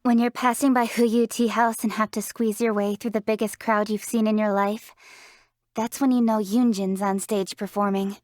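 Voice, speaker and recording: A woman's voice: mid-range voice, little pitch movement, moderate pace, a little mellow, dry recording, clean studio sound, close to an everyday voice. A young woman voicing a diligent, proud young city official in a video game, speaking in an articulate, assertive, matter-of-fact way. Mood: reflective, candid. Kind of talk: scripted video game character voice lines.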